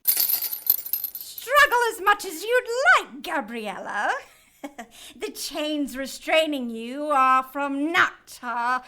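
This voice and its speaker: Casting: imperious cruel cherub, audio drama. High, sharp, unhurried delivery, formal and gloating. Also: female voice, a little hiss or hum, full character voice. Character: haughty, cruel female cherub passing judgment for heaven's council.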